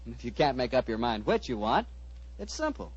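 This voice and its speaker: A man with an American accent announcing in 1940s radio style, with a folksy sales pitch. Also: very animated, brisk, slightly rough, dry recording. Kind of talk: 1940s radio commercial and hosting. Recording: dry, muffled vintage radio broadcast.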